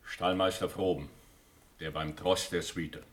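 An actor playing a respectful noble army officer in a classical radio drama, reporting formally in measured verse. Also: male voice, deep voice, fairly even intonation, slight background noise. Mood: composed, grave.

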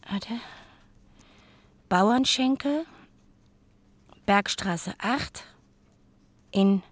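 A woman playing an earnest mother reading letters to her son in an intimate, measured German radio play monologue, at times close to a whisper. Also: medium pitch, dry recording. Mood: quietly hopeful.

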